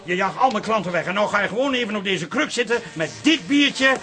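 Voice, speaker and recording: Bright, high-voiced bar keeper. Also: male voice, lively intonation, a little fast, soft background music. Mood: exasperated. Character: fed-up but tactful male bar keeper. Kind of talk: radio drama acting.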